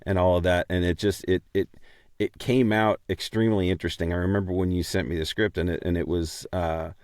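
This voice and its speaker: A man with a fairly low voice, recorded very dry. He chats casually and jokes around as a podcast co-host. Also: steady tone, a little fast, darkish tone, no room sound. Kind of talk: casual, jokey chat.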